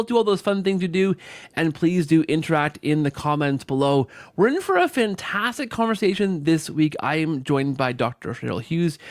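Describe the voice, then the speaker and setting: A man's voice: fairly high pitch, no room sound. A man hosting a podcast in an upbeat, conversational style, effusive and rambling as he circles back on his phrases. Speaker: podcast host.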